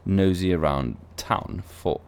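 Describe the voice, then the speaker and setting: A man's voice: deep voice, slow pace, vocal fry, slight background noise. A man who is not a native English speaker gives casual gameplay commentary as a let's play host, thinking aloud with playful asides.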